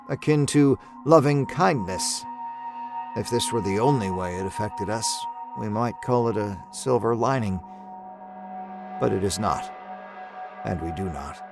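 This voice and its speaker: A man narrating a scripted first-person horror story in a wry, understated, dramatic way. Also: mid-range voice. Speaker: audio fiction narrator. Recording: narration over musical score.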